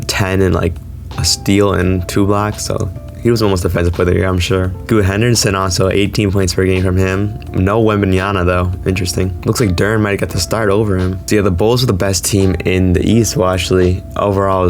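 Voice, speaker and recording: A man who is slightly sick, hosting a gaming video with casual, chatty commentary on a basketball game. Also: low voice. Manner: informal, chatty. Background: background music.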